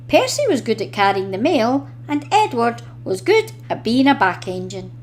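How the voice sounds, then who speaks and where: Female storybook narrator for young children, higher voice, animated melody, deliberate delivery. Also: slight background noise. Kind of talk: expressive scripted storybook narration.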